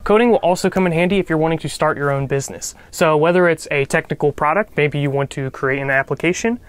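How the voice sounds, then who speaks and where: A man's voice: on the high side, clear, resonant tone. A young man with a nasal voice from a cold, hosting a casual coding tutorial with upbeat talk and joking asides. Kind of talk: casual instructional talk to camera.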